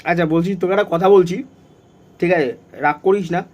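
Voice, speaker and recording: A man's voice: sits high, steady tone, fast, darkish tone. A young man, talking casually and insistently, often repeating himself, as in a vlog or phone call. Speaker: prank video creator.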